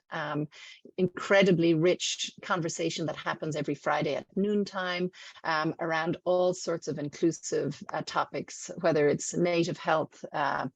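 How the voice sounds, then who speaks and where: A woman's voice: lowish voice, steady tone, normal speed, creaky, no room sound. A middle-aged woman, a residency program director, giving a casual webinar talk with many ums and asides. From not from the Midwest. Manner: warm, chatty, many fillers. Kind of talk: webinar presentation. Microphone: video call.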